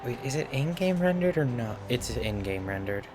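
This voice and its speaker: A man's voice: medium pitch, sing-song, moderate pace, music in the background. A man giving casual live commentary as he streams a video game.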